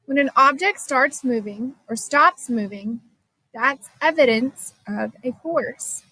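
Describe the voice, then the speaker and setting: A woman reading a children's science story aloud as scripted narration, expressive and clearly phrased. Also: sits high, slow pace, rough recording. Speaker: storybook reader.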